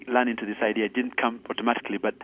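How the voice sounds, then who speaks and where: A man from Uganda, a guest on a radio interview, explaining earnestly with some halting restarts. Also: mid-range voice, level tone. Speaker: guest, charity founder. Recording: phone line.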